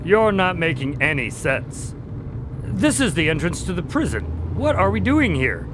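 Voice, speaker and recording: An actor plays a polite, genial male doctor telling stories and chatting in a radio drama. His voice sits fairly high for a man and swings expressively. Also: very animated, unhurried, noisy background. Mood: curious, helpful. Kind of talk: audio drama dialogue and narration.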